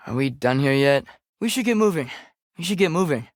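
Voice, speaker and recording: A restless teenage boy adventurer speaks in a fairly high voice with an American accent. His delivery is casual, hesitant and understated, like a companion in a video game. The sound is very dry, with no room on it. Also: expressive, measured pace, dry recording. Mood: impatient, restless. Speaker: game character voice actor.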